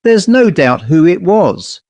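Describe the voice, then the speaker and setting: A man voicing a perplexed, brisk upper-class gentleman in an audiobook story, speaking in an educated, musing way. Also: high voice, very animated, no echo, pitched above the performer's own voice, heavily acted. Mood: puzzled, musing. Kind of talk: audiobook character voice.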